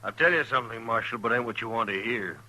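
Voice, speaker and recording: An actor playing a guarded, fatalistic frontier station keeper in an old-time radio western, with an American accent and a terse, folksy, stubborn manner. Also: male voice, medium pitch, fast talker, sharp tone, poor audio.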